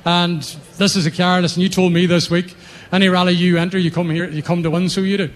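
High-voiced event host. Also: male voice, flat delivery. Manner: brisk, chatty MC. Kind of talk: live stage interviews. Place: stage at a rally event.

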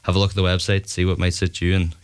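Male guest, low smooth voice, upbeat and promotional. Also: flat delivery, fast talker. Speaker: sports partnership guest. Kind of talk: radio interview.

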